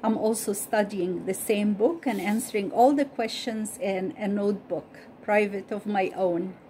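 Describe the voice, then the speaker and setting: A woman whose first language is Arabic, slowly and carefully reading a Bible story aloud and repeating study questions as host. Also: medium pitch, mellow, slight background noise.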